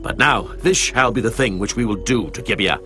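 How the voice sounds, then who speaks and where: A man's voice: mid-range voice, brisk, pushed and bright, soft background music, natural voice. An actor playing a resolute, outraged Israelite man rallying his people in a scripture drama. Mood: resolute outrage.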